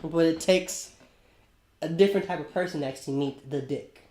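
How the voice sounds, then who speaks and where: A man co-hosting a casual podcast, talking in an excitable, animated way and swearing casually. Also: on the high side, normal speed, echoey room. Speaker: podcast co-host.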